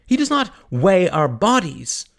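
A man doing a fiery, high-pitched preaching priest. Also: sing-song, measured pace, gravelly, exaggerated voice. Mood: fervent preaching. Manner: impassioned, sermonizing, rhetorical questions. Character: fiery preaching village priest, male, middle-aged.

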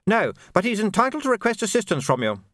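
A man voicing a cautious army captain who gives measured, dry advice. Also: high-pitched, wildly swooping pitch, a bit bright, dry recording, pitched above the performer's own voice, full character voice.

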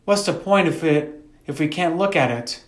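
Man playing a whiny, frightened little girl, pitched up. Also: expressive, normal speed, roomy sound, natural voice. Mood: curious, uneasy. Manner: pleading, protesting, petulant.

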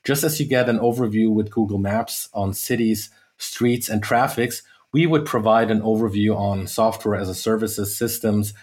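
Middle-aged man, lower register, measured interview guest, hesitant fillers, explains at length. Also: darkish tone. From United States. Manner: measured, many fillers and restarts. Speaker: guest, sales executive. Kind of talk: podcast interview.

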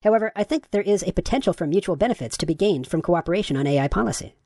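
Man reading a polite androgynous AI chatbot, pitch-shifted up, quick delivery, dry sound. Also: lively intonation, warm tone, dry recording. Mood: polite, agreeable. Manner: courteous, affirming, asks follow-up questions. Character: polite agreeable AI chatbot, gender-neutral. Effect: pitch raised.

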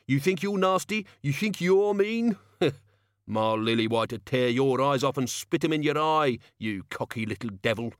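A man voicing a gruff, indignant old male locksmith with a rough working-class way of talking in an audiobook. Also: very animated, moderate pace, a bit bright, no echo, clean studio sound. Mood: defiant. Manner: indignant working-class grumble, rising pitch.

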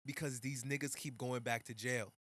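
A man's voice: mid-range voice, fairly even intonation, normal speed, a bit bright, dry recording, clean studio sound. A man in casual chat with friends, emphatic and repeating himself to make a point.

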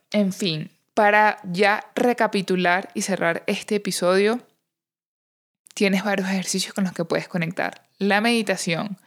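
A woman hosting a casual solo podcast, speaking informally and encouragingly straight to her listeners. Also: fairly low pitch. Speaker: podcast host.

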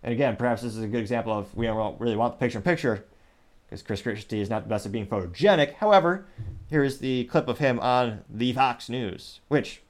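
A man hosts his own commentary show, his voice sitting at an everyday middle pitch. He rambles, doubles back and corrects himself mid-sentence. It is captured on a small USB microphone at a home setup. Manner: rambling, self-correcting, mentions stuttering and speaking fast. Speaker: show host.

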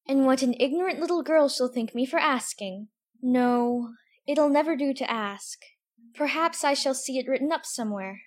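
Young girl, high-pitched, prim, wondering, chatty self-talk, audiobook. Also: normal speed. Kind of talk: full-cast audiobook acting.

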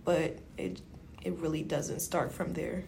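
A woman from Guyana with a creaky, slightly low voice chats casually as a podcast co-host. Her talk is informal and conversational. A little noise is audible behind her. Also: fairly even intonation, measured pace, dark tone. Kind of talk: casual chat.

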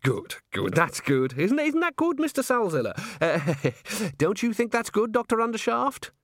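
A man's voice: high voice, very animated, measured pace, dry recording, clean studio sound, pitched above the performer's own voice, exaggerated voice. A man voicing a nervous theatre manager who hesitates and stammers with many ums and uhs. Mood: flustered.

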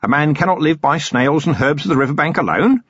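A man's voice: on the high side, animated, fairly quick, a bit bright, slightly rough, no echo, voice pushed up. A man voicing a cheerful, talkative old male hermit who rambles eagerly and deferentially. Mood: eager chatter. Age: older. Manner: eager, rambling, deferential, chuckling at his own jokes. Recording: dull, dry, lo-fi recording.

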